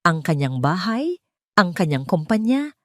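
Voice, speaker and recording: A woman's voice: lowish voice, big pitch swings, a little slow, no echo, studio quality. A woman with a Filipino accent narrating a scripted dramatic audio story in a solemn, emotive way.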